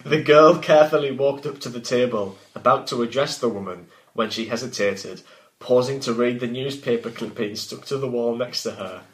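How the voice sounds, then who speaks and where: A man's voice: mid-range voice, normal speed. A man reading his own gothic story aloud and breaking off to banter about it. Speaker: author and reader. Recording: roomy, echoey home recording.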